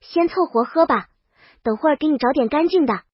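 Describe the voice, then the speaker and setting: Woman playing a bossy storybook princess, high voice, blunt, self-assured, dry lo-fi sound. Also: brisk, no room sound, natural voice. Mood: caring. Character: bold, bossy young princess, blunt but caring. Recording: dull, lo-fi dry voice track.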